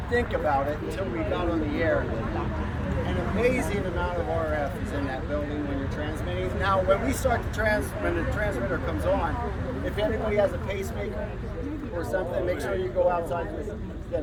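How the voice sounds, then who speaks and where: A man giving an informal technical tour of a radio station, explaining in a rambling way and pointing things out to his listeners. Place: outdoors at a radio transmitter site. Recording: very noisy outdoor recording with ambient rumble.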